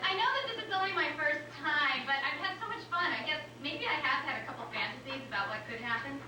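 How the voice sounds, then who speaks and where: Woman acting novice female comic, bubbly, friendly, eager, high swooping voice, distant noisy room. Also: fast talker, heavy reverb, crowd noise, close to an everyday voice. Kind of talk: staged script reading, naturalistic.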